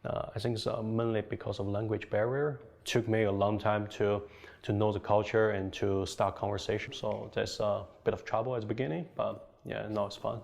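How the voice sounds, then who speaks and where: A man's voice: on the low side, flat delivery, normal speed, gravelly, darkish tone. A man from China, a Chinese native speaker, talking hesitantly with many fillers in a documentary interview.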